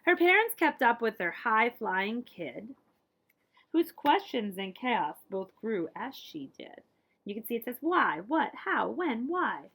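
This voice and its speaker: Woman, high voice, slight creak, storybook read-aloud, very expressive, dramatic emphasis. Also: measured pace. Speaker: librarian reading a picture book aloud to children.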